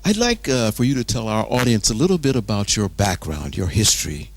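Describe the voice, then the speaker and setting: Man, television host, formal interview style, polite measured phrasing, very lively intonation. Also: lowish voice, no echo.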